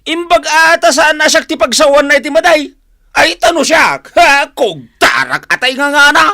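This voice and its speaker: Bright-voiced complaining husband. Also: male voice, extremely high pitch, lively intonation, moderate pace, no room sound, studio quality, heavily acted. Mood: indignant. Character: defensive, complaining young husband, stubborn. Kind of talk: Filipino radio drama acting.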